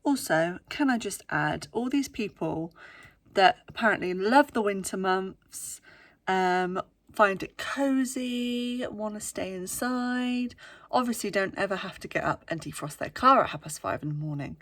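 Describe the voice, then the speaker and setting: A woman's voice: mid-range voice, expressive, measured pace. Bunged-up woman, chatty vlog. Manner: chatty, with frequent ums, sometimes hushed. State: bunged up with a cold. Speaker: vlogger. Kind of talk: casual chat to camera. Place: workplace indoors, early morning.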